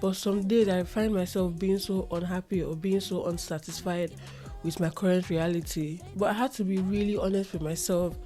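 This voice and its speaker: Mellow, slightly nasal woman podcast host. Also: lowish voice, steady tone, normal speed, soft background music. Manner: earnest, reflective, repeats phrases for emphasis. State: recovering from a runny nose, itchy throat. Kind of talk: solo motivational podcast talk.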